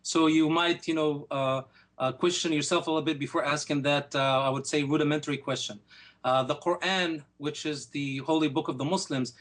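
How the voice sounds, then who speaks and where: A man arguing his side in a televised debate, speaking in rapid, insistent bursts. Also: a little high, fairly even intonation, a bit bright. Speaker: debate guest. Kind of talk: heated debate.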